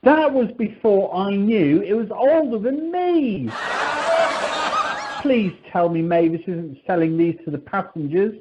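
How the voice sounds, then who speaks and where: A woman's voice: mid-range voice, expressive, unhurried, noisy background, natural voice. A woman playing an exasperated but polite male airline clerk in an amateur radio comedy. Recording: dull telephone conference line.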